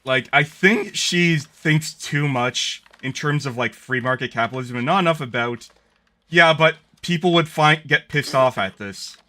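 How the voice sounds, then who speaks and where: A man's voice: on the high side, measured pace, bright, forceful tone. A man streaming a game and chatting casually, sardonic and swearing freely. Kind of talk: casual gaming stream chat.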